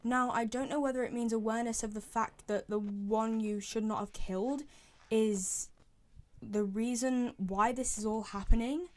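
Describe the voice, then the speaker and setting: A woman's voice: a little high, steady tone, moderate pace. A woman chatting casually and giving opinions as a fan commentator, rambling and repeating herself for emphasis. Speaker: fan commentator ranking game voice lines.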